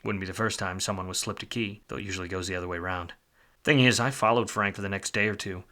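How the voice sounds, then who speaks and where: An actor playing a weary, wisecracking 1940s private detective in a film noir radio play, with a wry, slangy manner. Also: male voice, deep voice, steady tone, fast talker, pushed and bright. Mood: wry, self-mocking. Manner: wry, hard-boiled, slangy.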